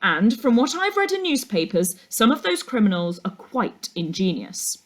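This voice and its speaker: A woman's voice: mid-range voice, sing-song, normal speed, voice pushed up. A woman reader acts out a stern male schoolteacher from a children's storybook. He is exasperated and pompous, lecturing with emphatic, dismissive weight.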